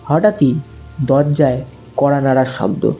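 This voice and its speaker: A man telling a first-person horror story in a slow, suspenseful way. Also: mid-range voice, gravelly. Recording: muffled lo-fi with faint music.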